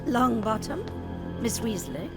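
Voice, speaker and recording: An actress with a British accent voicing a stern, commanding older witch professor in a video game, brisk and formal. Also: a little high, big pitch swings, full character voice. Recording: loud music over the voice.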